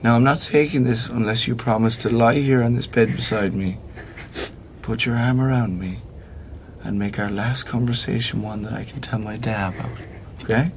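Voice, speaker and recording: An actor in a radio play voicing a terminally ill man who speaks gently, with wry, reassuring calm. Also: mid-range voice, moderate pace, slight background noise, natural voice. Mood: affectionate, wry. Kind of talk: radio play acting, intimate dialogue.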